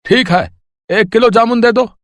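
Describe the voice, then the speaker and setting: Man as a blunt, high-voiced village father. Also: moderate pace, a bit bright, dry recording, studio quality. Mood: sceptical, bargaining. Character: sceptical, boastful, grateful village father, wealthy customer. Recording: music under the voice.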